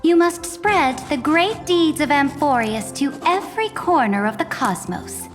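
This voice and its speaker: A woman's voice: high-pitched, lively intonation, unhurried. A woman voicing a haughty, regal young woman in a game who speaks in a grand, commanding manner. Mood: imperious. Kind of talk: game voice acting. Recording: long hall reverb. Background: soft music.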